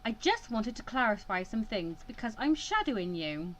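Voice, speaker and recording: A woman's voice: on the high side, big pitch swings, moderate pace, rough recording. Woman playing a flustered, stammering teenage girl. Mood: anxious. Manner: stammering, hesitant, earnest. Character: flustered, anxious fourteen-year-old girl student mediator. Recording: clear background noise.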